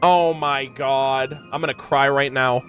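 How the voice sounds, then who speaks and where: A man doing excited reaction commentary as a game streamer, reading aloud and commenting in an animated, exclamatory way. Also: medium pitch, a little slow, soft background music. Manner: animated, exclamatory, swears freely. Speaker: streamer reacting to a video game's lore.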